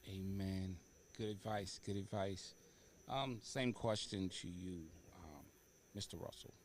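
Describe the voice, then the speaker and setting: A man's voice: sits low, takes it slowly, a little hiss or hum. A man hosting a talk show, speaking casually and feeling his way through his questions.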